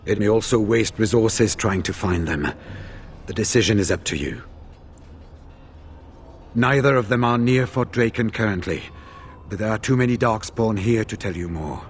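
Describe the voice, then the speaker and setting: A man acting a calm, serious veteran warrior in a video game, laying out orders in a measured, matter-of-fact way. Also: lowish voice, slight background noise, lightly acted. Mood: serious, urgent.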